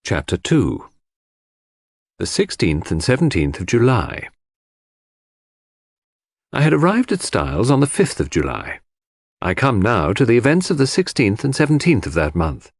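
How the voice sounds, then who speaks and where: Animated male audiobook narrator, dry sound. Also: mid-range voice, normal speed, long pauses, no echo. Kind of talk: scripted first-person literary narration.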